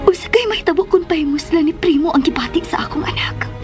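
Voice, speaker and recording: A woman with a Filipino accent playing a middle-aged, troubled and protective mother in a radio drama, with breathy, tearful delivery. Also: very high voice, moderate pace, whispered. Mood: worried, pleading. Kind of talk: Philippine radio drama acting. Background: dramatic background music.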